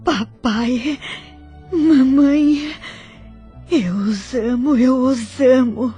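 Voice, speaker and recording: A Brazilian woman playing an emotional, devout daughter in a spiritist audio drama, tender and eager, in a husky voice. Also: sits high, drawn-out words, mellow, lo-fi sound, soft background music. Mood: tearful tenderness.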